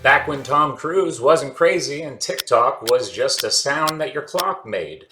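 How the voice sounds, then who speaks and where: A man's voice: medium pitch, expressive, measured pace, bright, forceful tone. A man in his forties hosting a casual vlog, talking in a rambling way with many fillers and restarts. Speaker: channel host. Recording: echoey room with faint music. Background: faint background music.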